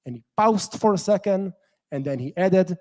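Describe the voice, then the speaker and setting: A young adult man from Israel with an Israeli accent, telling an earnest story to an audience with measured pauses. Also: high voice, lively intonation, darkish tone. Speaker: activist speaker.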